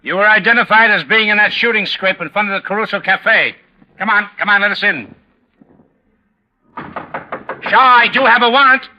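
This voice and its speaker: An actor playing a police lieutenant in a 1950s radio drama, brisk, official and authoritative. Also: male voice, sits high, natural voice. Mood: commanding. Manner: official, matter-of-fact, authoritative. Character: brisk, businesslike male police lieutenant in charge. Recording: dull, noisy old radio recording.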